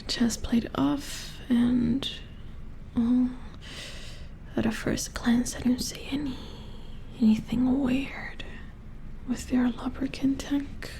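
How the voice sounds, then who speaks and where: A woman playing a calm, methodical robot repair engineer in a soft-spoken ASMR roleplay, murmuring with concentration close to the microphone. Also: a little high, takes it slowly, vocal fry, long pauses, noisy background. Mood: calm, focused.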